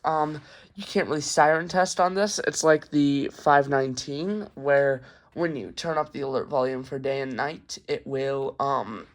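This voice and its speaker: Casting: male hobbyist video host, unhurried pace, halting off-the-cuff delivery, casual home review. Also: mid-range voice, steady tone. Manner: hesitant, halting, unscripted rambling. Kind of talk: casual show-and-tell review. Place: carpeted room at home.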